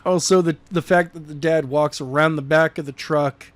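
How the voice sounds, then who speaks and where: A man with a fairly high voice reads a draft chapter aloud, then turns chatty and playful, joking and tossing in quick bits of character voices. The sound is dry and close, with no room in it. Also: no echo. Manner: animated, jokey, quick to slip into character voices. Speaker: podcast co-host reading chapters aloud. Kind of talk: reading a novel draft, then casual chat.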